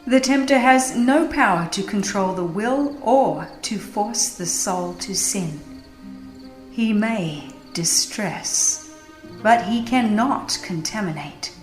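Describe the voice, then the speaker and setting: A woman narrates a religious audiobook with a solemn, measured delivery. Her voice sits at a medium pitch in a noticeably roomy, echoing sound. Music plays softly behind her.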